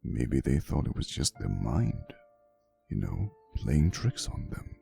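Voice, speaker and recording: A man acts out an ancient vampire boyfriend in an intimate ASMR roleplay, devoted and slightly possessive. His voice is extremely deep, with heavy gravelly fry. He tells his tale softly and theatrically, with swooping intonation and old-fashioned phrasing. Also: very animated, soft background music, exaggerated voice. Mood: wistful, tender. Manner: soft, unhurried, theatrical, old-fashioned wording.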